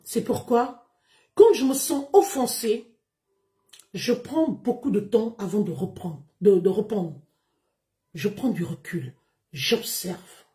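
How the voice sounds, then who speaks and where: Slow, emphatic woman. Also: mid-range voice, lively intonation, warm tone. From Gabon. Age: 30s. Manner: emphatic, declamatory, deliberate. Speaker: live streamer. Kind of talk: livestream monologue to followers.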